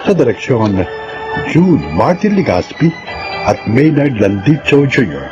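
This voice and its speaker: Filipino male announcer, animated, over music. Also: medium pitch, slow pace, highs cut off. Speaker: announcer, narrator. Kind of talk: dramatic scripted radio announcing. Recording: music behind the voice.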